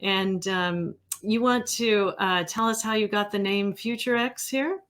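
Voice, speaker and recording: A woman's voice: mid-range voice, a little slow, a bit bright. A woman hosting a casual interview in a chatty, informal way. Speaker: interview host.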